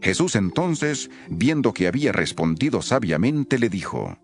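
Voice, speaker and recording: A man's voice: fairly low pitch, sing-song, unhurried, faint music behind. A man narrating scripture from a script as the storyteller of an audio production.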